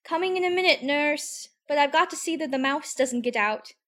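Woman playing young storybook girl, high-pitched, chatty, curious, prim, musing to herself. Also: moderate pace, fairly bright tone. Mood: playful. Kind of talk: audiobook character acting.

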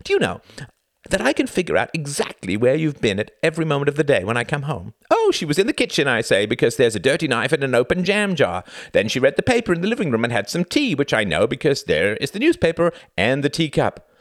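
A man's voice: medium pitch, wildly swooping pitch, fairly quick, creaky, hardly any pauses, fairly bright tone, no echo, clean studio sound, voice pushed up, full character voice. A man playing a sarcastic, furious young husband, ranting and condescending in acted audiobook dialogue. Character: enraged sarcastic husband, young graduate student in a failing marriage.

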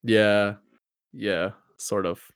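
A man's voice: on the low side, steady tone, very slow, clean tone, no echo, clean studio sound. A young man in his twenties co-hosting a podcast, chatting loosely with lots of slang and fillers. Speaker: podcast co-host. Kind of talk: casual chat.

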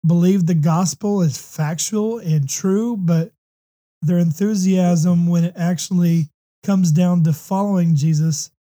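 A hoarse, slightly congested man from the United States hosting a faith talk show, speaking earnestly with many ums and restarts. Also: sits high, fairly even intonation, unhurried, mellow. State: hoarse with a runny nose. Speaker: podcast host.

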